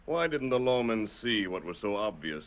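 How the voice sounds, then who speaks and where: Commanding masked Western hero, old radio. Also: male voice, lowish voice, very animated, moderate pace. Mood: stern, probing. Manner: clipped, authoritative, interrogating delivery. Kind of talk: old-time radio drama acting. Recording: dull lo-fi old radio recording.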